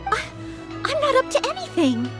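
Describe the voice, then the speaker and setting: A very high, lively young woman's voice, playing a gentle maid of a knightly order. She sounds earnest, courteous and eager to please, with faint music behind her. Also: normal speed, exaggerated voice. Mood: flustered. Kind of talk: video game voice acting.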